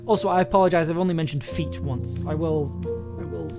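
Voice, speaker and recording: Lower-pitched woman thinking aloud on stream. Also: steady tone. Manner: halting, thinking aloud with self-repetition. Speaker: audio drama writer and live streamer. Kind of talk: casual livestream chat while writing aloud. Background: music.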